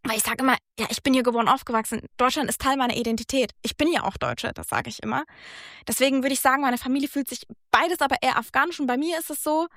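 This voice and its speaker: A woman's voice: high-pitched, quick pace, fairly bright tone, no echo, clean studio sound. A woman born and raised in Germany, speaking earnestly and reflectively in a casual conversation. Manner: earnest, reflective, speaks in long thoughtful runs. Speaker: guest.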